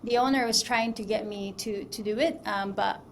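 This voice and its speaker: A woman's voice: mid-range voice, fairly even intonation, moderate pace, slight background noise. A woman in her thirties from the Philippines, talking as a guest in a casual interview, with plenty of fillers and restarts. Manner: chatty, many fillers and restarts. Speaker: mortgage broker guest.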